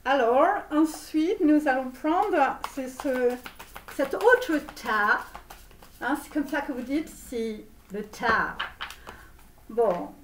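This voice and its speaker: A woman playing a comic online tarot reader with a put-on Romanian accent, insistent and repetitive, halting theatrically as she reassures her viewers. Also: sits high, a little hiss or hum. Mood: musing, preachy. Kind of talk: parody tarot reading spoken to viewers. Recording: roomy echo, distant microphone.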